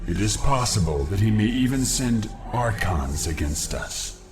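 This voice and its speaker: Earnest young alien commander. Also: male voice, fairly low pitch, expressive. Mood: somber. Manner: earnest, deliberate. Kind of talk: video game voice acting. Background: game music.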